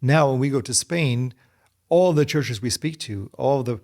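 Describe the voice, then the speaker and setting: A man's voice: mid-range voice, unhurried, darkish tone. A middle-aged man answering interview questions earnestly, often restarting and repeating words. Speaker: guest, Bible society secretary.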